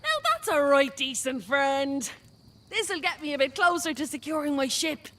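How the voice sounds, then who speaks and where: A woman's voice: high voice, expressive, normal speed, bright, forceful tone. A woman playing a cocky, roguish scout out for profit in a video game, talking in a sly, breezy, slangy way. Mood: sly, pleased. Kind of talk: video game character dialogue.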